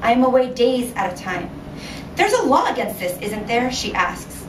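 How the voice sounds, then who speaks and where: A woman author reading her short story aloud at a live event, measured and expressive, recorded in a room from a distance. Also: a little high. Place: indoor venue at a reading series. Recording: roomy, noisy live recording from afar.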